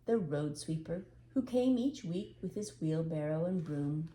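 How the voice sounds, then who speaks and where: A woman's voice: deep voice, lively intonation, unhurried, mellow, reverberant, a little hiss or hum. An older woman narrating a storybook tale expressively as a storyteller.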